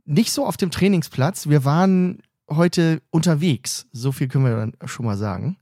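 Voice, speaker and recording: Podcast co-host, male, joking and teasing, slightly higher voice, dry close sound. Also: moderate pace, dark tone, no room sound. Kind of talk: casual banter podcast. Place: training camp room.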